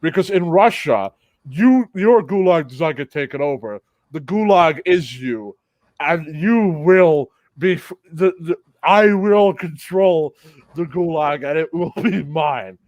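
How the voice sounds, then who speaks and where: A man improvising a stubborn, power-hungry capitalist invader in a comic role-play, halting and insistent. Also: on the high side, lively intonation, darkish tone, dry recording. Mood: scheming. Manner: halting, insistent, repeats himself.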